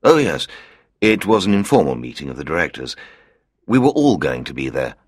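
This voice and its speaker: A man voices a nervous company director in his fifties for a storybook recording. The voice is extremely deep and husky, with a lively, rising and falling delivery. The sound is dry and close. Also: brisk, no echo, exaggerated voice. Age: 50s. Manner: nervous, hesitant, apologetic. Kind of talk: audiobook character reading.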